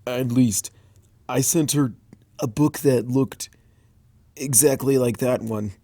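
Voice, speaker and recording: A man's voice: mid-range voice, takes it slowly, warm tone, no echo. A man voicing a laid-back, flippant young male baby dragon who drawls and teases. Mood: drawling.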